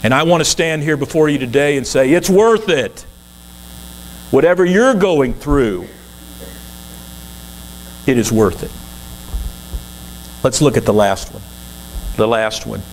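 A man's voice: medium pitch, animated, measured pace, long pauses, slight background noise, low-quality recording. A man in his sixties, a guest minister, preaching an earnest, conversational sermon from the pulpit of a church.

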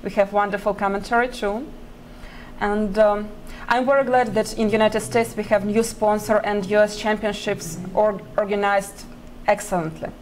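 A woman in her thirties whose first language is Russian answers an interviewer's questions in careful, halting English. She pauses often and breaks into short laughs between phrases. A faint hiss sits under her voice, recorded on a desk mic in an indoor press area. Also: mid-range voice, level tone, rough recording. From Ukraine. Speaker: chess player guest. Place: indoor press area before a sponsor backdrop. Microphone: desk microphone.

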